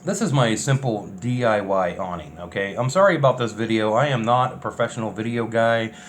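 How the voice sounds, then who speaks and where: A man's voice: fairly low pitch, a little fast. Male vlogger, unscripted and offhand, everyday plain talk, faint background noise. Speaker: vlogger documenting a bus build. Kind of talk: casual unscripted vlog chat.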